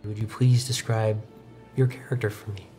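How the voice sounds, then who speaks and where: A man's voice: on the low side, flat delivery, normal speed, mellow. A young man narrating an improvised tabletop roleplaying game as game master, describing scenes like a storyteller. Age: young adult. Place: indoor studio, seated at a desk. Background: background music.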